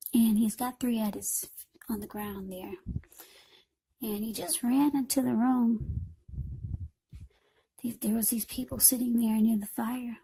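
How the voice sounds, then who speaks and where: A woman with a fairly high, very husky and breathy voice gives an informal tarot reading. Her tone is mellow and soft-edged. She talks straight to the viewer in short, broken phrases, repeating words as she goes. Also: low-quality recording. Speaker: tarot card reader.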